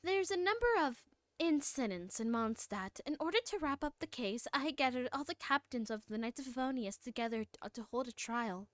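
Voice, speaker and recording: A woman voicing a formal, earnest female knight commander from a fantasy game, reading the lines aloud a little haltingly. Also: high voice, lively intonation, no room sound. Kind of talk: live read-along of game dialogue.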